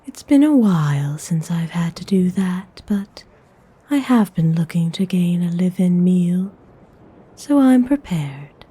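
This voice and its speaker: A woman acts out a noble lady vampire for an ASMR roleplay, haughty but benevolent. Her voice is very mellow and soft, heavy with breath and half whispered. She speaks slowly and formally, in a slightly low register, with cool refinement. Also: lively intonation, husky, full character voice. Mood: appraising. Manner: unhurried, formal, coolly refined. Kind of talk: ASMR audio roleplay acting.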